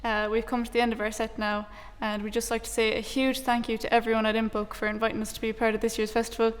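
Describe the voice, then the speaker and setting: Brisk Connemara Irishwoman, even-toned in a hall. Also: fairly high pitch. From Conamara, Ireland. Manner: friendly, teasing, brisk. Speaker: traditional musician. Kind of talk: on-stage thanks and announcement.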